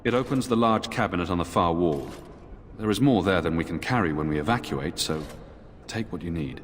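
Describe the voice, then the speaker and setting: An actor playing a stern, dutiful knight commander in a fantasy game, formal and measured. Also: male voice, sits low, slight background noise, close to an everyday voice. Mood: grave, cautious. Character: stern, dutiful templar knight commander, wary but kindly. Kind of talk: fantasy video game voice acting. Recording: echoing hall, music behind.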